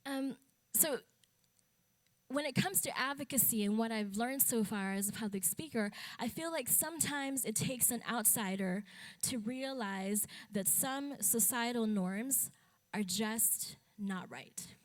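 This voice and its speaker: A woman's voice: fairly high pitch, unhurried. Candid woman speaking on stage. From Philippines. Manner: candid and matter-of-fact, with wry humor. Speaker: public speaker and advocate. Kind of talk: conference talk. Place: stage at a talk event.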